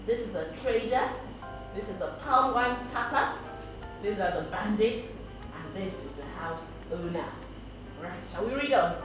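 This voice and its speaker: A woman telling a story to children, pausing to explain and ask questions. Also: medium pitch, expressive. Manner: explains words, asks questions. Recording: echoey, distant mic, music behind.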